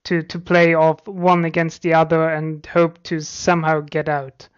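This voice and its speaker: A woman with a fairly low voice talks as an interviewer in a casual conversation. She is reflective and a little wry, feeling her way through sentences, on a dull lo-fi recording. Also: little pitch movement, a little slow, smooth.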